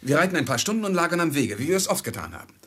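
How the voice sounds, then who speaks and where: A man's voice: on the high side, very animated, very fast, fairly bright tone, husky, exaggerated voice. An actor in a German radio drama playing a loyal, worried manservant, earnest and deferential toward his troubled master. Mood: urgent, pleading.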